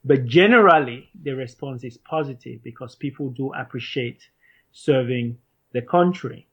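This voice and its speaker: A man in his thirties from Cameroon, a startup founder, answering interview questions in a measured, earnest way. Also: medium pitch, dull audio. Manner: measured, earnest, some restarts.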